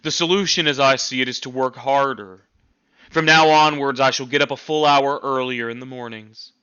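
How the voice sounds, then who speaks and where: Man playing a hardworking storybook horse, bewildered, earnest, halting, bright forceful tone, lo-fi sound. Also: mid-range voice, steady tone, clean tone, close to an everyday voice. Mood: troubled, resolute. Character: Boxer, bewildered, loyal, hardworking male farm horse. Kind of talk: storybook character reading.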